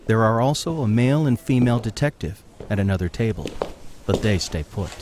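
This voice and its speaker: Narrator for audio play: male, lowish register, scripted scene-setting. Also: animated, a little fast, background noise.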